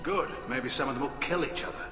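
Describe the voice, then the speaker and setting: An actor playing a gruff, hawkish middle-aged alien admiral in a video game, blunt, clipped and wry. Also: male voice, mid-range voice, fairly quick, lightly acted. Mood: grim, determined. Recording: echoey hall, music behind.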